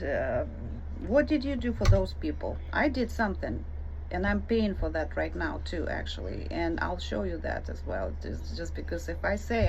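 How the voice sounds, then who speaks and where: A woman's voice: fairly low pitch, a little mellow. Indignant woman with a Ukrainian accent. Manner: emphatic and indignant, addresses the viewer directly. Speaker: vlogger answering a comment. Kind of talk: direct-to-camera rebuttal. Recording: dull lo-fi audio with faint noise.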